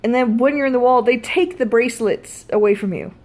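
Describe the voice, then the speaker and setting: A woman's voice: on the high side, brisk, a little mellow. A woman telling a personal story in a casual vlog style, blunt and freely swearing. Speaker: vlogger.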